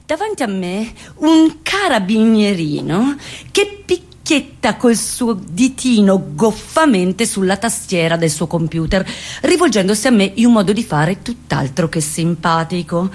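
Actress, medium pitch, for a dramatic, expressive first-person comic stage narration. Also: female voice, a little slow. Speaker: stage actress performing a monologue.

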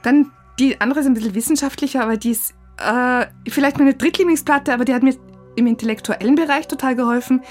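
A woman's voice: high-pitched, fast talker. A middle-aged woman telling a first-person story as narrator of a radio feature. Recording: music under the voice. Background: music.

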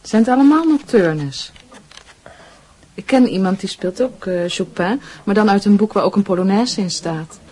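An actress playing a serious, enigmatic young nurse and medium in a radio drama, with a cool, knowing manner. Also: female voice, mid-range voice, lively intonation, moderate pace, dark tone, husky. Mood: admiring. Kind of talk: radio drama acting in Dutch.

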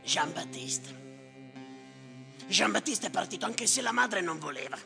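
An actor playing a bitter, sardonic storyteller of sea tragedies in a theatrical monologue, declamatory and repetitive, with a gravelly voice. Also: male voice, high voice, animated, measured pace, exaggerated voice. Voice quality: gravelly, creaky. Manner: declamatory, repetitive, swinging from muttering to shouting. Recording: reverberant theatre with live music behind. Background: live music.

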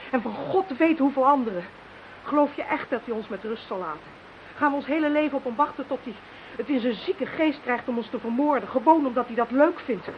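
Radio play: defiant female victim, highish emphatic voice, muffled hissy recording. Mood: defiant, urgent. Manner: emphatic, dramatic. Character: defiant, determined woman hunted by a telepathic enemy. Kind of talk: Dutch radio play acting.

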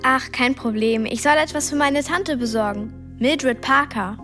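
A woman's voice: high voice, measured pace, bright, forceful tone, soft background music. An actress playing an earnest, curious twelve-year-old girl in a radio play, a little hesitant in manner.

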